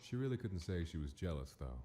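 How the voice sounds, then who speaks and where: A man's voice: sits low, sing-song, fairly quick. A man giving dramatic scripted narration for a story in a comic dub. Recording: loud music under the voice. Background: music.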